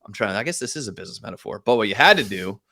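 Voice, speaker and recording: A man's voice: lowish voice, animated, racing, vocal fry, sharp tone. A man, a podcast guest, telling stories casually in a self-deprecating, joking way. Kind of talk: casual conversational storytelling.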